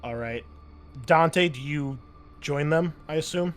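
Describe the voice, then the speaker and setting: A man running a tabletop game as game master, improvising scene descriptions in a halting, conversational way. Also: on the high side, over music.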